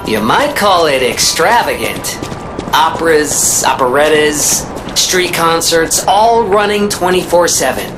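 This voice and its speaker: An actress playing a wistful, chatty woman reminiscing in a video game cutscene, musing in a hesitant, breathy way. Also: low voice, expressive, measured pace, music in the background, rough recording. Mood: nostalgic, admiring.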